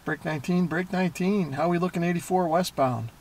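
A man's voice: high-pitched, brisk, very noisy. A middle-aged American man hosting a hobby how-to, talking in an easygoing, self-deprecating, casual way. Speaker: host of a radio hobby channel.

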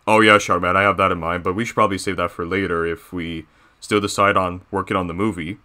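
A man's voice: low-pitched, fairly even intonation, fast, sharp tone. A man voicing a boastful, bossy cartoon bear boss in rambling, self-satisfied improvised banter. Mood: casual, conspiratorial. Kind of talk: improvised character reaction banter.

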